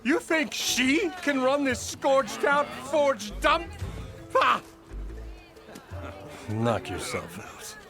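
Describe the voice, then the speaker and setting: A pompous, scheming mine boss, sneering and blustering in a high, swooping voice over soft game music. He puffs himself up and talks down to everyone. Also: very animated, drawn-out words, pauses often, heavily acted. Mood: raging. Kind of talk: video game cutscene acting.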